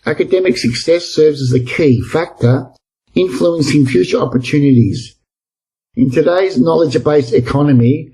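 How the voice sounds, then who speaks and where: A man's voice: a little high, gravelly, warm tone, husky, poor audio. A man reading a scripted model essay aloud in the role of a reader for English exam practice.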